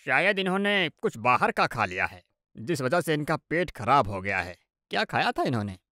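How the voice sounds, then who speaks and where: A man's voice: fairly high pitch, big pitch swings, moderate pace, pushed and bright, no room sound, studio quality. A man playing a disapproving family doctor, matter-of-fact and chiding, in a cartoon moral story.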